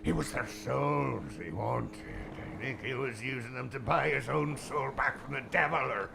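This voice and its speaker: An actor playing a superstitious, cowardly old boatman with rustic sailor speech and a gravelly voice in a radio play. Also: medium pitch, moderate pace, noisy background. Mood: fearful, pleading.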